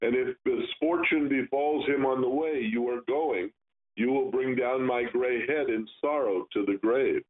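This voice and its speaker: A man's voice: mid-range voice, a little slow, close to an everyday voice. A man reading the part of a grieving elderly father in a scripture reading, over a tinny, phone-like radio sound.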